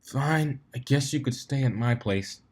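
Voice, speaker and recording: A man's voice: unhurried, natural voice. A man voicing a stubborn, queasy female pony team captain from a storybook, in a terse, strained way. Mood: resigned. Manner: terse, strained, low-volume. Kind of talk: storybook character reading.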